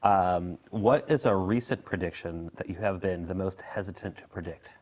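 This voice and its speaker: A man relaying audience questions, speaking in a hesitant, halting way. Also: low voice, steady tone, a little mellow.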